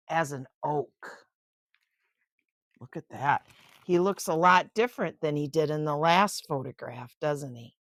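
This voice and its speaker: An older woman reading a children's picture book aloud in an expressive, unhurried way, with chatty asides of wonder. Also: low voice, no echo, clean studio sound.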